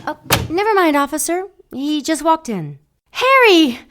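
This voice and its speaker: A woman's voice: high-pitched, very animated, unhurried, a bit bright, heavily acted. An actress playing a scheming female demon, sly and flirtatious in an audio drama.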